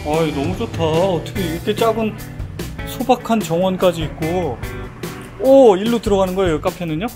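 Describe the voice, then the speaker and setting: A man's voice: high-pitched, brisk, music in the background. Curious, exclaiming Korean man. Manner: curious, full of exclamations and questions. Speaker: food vlogger visiting a cafe. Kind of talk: casual on-site chat. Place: small garden cafe, indoors and outdoors.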